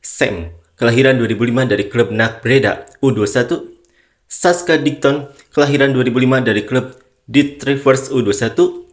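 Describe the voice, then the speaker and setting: Man, news narrator, mid-pitched voice, steady reading tone. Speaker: sports channel narrator. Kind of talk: scripted narration reading news and online comments.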